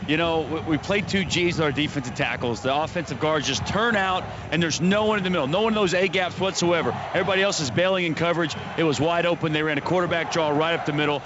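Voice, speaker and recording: A man's voice: fairly high pitch, fast, few pauses. An American man on the sideline breaking down football tactics live, explaining with emphatic repetition. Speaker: sideline analyst. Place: outdoor stadium sideline. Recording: dull, noisy stadium sideline feed.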